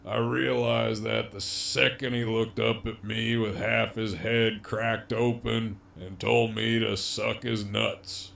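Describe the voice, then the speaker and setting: A man acting a slow, gravelly, cocky captive villain. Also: fairly low pitch, little pitch movement, a bit bright, exaggerated voice. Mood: wry, reflective. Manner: swaggering, foul-mouthed, drawling. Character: cocky, menacing, wryly amused imprisoned gang leader, adult man. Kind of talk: audio drama acting.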